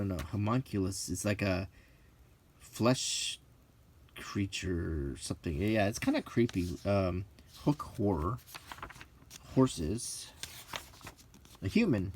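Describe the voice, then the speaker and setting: Slow, low-voiced middle-aged man musing aloud. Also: a little hiss or hum. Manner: rambling, halting, musing aloud in short phrases. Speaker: host of a hobby channel. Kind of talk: casual unscripted commentary while leafing through a book.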